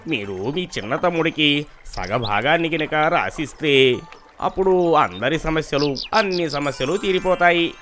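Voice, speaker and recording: A man voicing a humble, anxious donkey in an animated fable. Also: on the high side, measured pace, soft background music. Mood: earnest. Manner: deferential, earnest. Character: humble, anxious male donkey advising the king.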